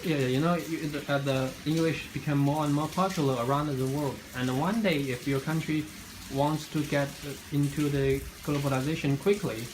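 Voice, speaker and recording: A man's voice: fairly high pitch, steady tone, normal speed. A young man, a student, interviewing a classmate and reading his questions in a hesitant way, with many fillers and restarts. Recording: noisy with frying sizzle behind.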